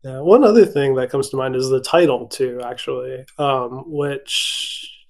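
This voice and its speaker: A man's voice: medium pitch, unhurried, creaky. A young man from Hawaii, a literary translator speaking casually on a video call, hesitant with many fillers and restarts. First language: English. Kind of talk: casual virtual event conversation.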